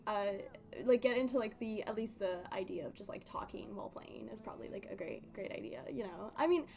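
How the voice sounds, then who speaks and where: A woman's voice: medium pitch, fairly quick, vocal fry, warm tone. A woman chatting casually on a game livestream in a rambling, giggly, slightly nervous way. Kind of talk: casual game stream chat.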